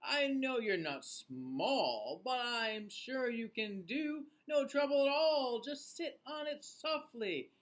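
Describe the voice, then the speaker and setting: A man acts out a carefree lady bird from a storybook. She coaxes and pleads in a breezy way, drawing words out slowly with very animated pitch. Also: heavily acted. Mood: coaxing. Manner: coaxing, breezy. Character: Maisie, coaxing, carefree lazy female bird. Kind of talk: children's storybook read-aloud.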